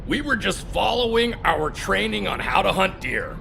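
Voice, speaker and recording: An excitable, foolish young hunter sidekick for a video game. The voice is high, very creaky and swoops about brashly, with noise behind it. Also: male voice, normal speed, full character voice. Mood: defensive, eager. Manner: brash, naive.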